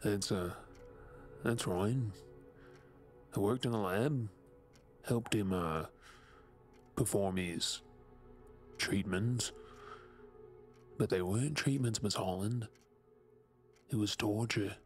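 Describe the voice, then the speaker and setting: A man acts a troubled former lab assistant in an audio drama, with an Australian accent. His voice is low and deliberate, with faint music behind it. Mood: haunted, somber. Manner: somber, deliberate, halting. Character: haunted, remorseful male former lab assistant. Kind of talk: dramatic audio roleplay acting.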